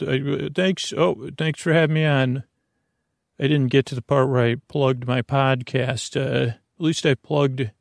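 Creaky-voiced, rambling male podcast host. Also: medium pitch, no echo, studio quality. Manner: meandering, hesitant, self-interrupting; whimsical, silly. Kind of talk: rambling bedtime podcast monologue.

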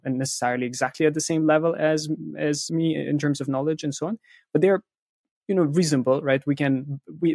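A man's voice: fairly high pitch, darkish tone, dry recording, studio quality. A man speaking as an interview guest, explaining things step by step in conversation and often checking in with "right?" and "you know". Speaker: interview guest, content writer who interviews experts.

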